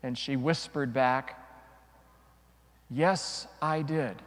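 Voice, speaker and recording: Slow-speaking priest in a reverberant church. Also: male voice, medium pitch, fairly even intonation. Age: middle-aged. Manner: deliberate, dramatic pauses. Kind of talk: homily with dramatic storytelling. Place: large church, before a congregation.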